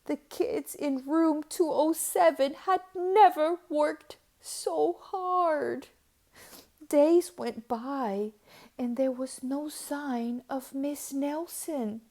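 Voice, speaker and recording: A woman reading a children's picture book aloud as a storyteller, with dramatic, deliberate delivery and drawn-out words. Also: high voice, dark tone.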